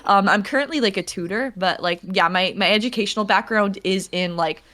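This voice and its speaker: A woman's voice: medium pitch, brisk, pushed and bright, a little hiss or hum. A young woman who streams, a guest in a casual podcast chat, bubbly and saying "like" constantly. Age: young adult. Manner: bubbly, laughs often, heavy use of "like".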